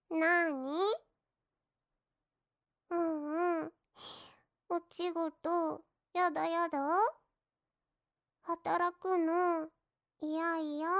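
High, slow baby-talk maid, mocking her master, played by a woman. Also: fairly bright tone, heavily acted. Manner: sing-song baby talk with lisped endings. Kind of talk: roleplay audio drama.

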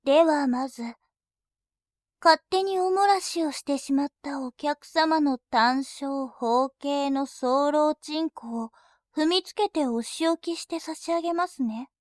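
A woman voicing a composed female bank clerk who speaks in very formal, deliberate language and turns dominating and scornful. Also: high voice, dry recording, clean studio sound. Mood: polite teasing. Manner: very formal polite speech, slow and deliberate. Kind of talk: adult audio drama acting.

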